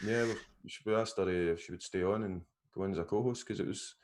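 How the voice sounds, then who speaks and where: Scottish male guest, deep voice, loose rambling delivery over a video call. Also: fast, slight background noise. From Scotland. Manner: rambling, restarts often. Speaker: guest vape reviewer. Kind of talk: casual chat.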